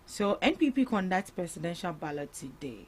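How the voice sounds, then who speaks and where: A woman's voice: lowish voice, very animated, a little fast, a little hiss or hum. A young woman with a Ghanaian English accent, co-hosting a breakfast radio show, reading out headlines and discussing them.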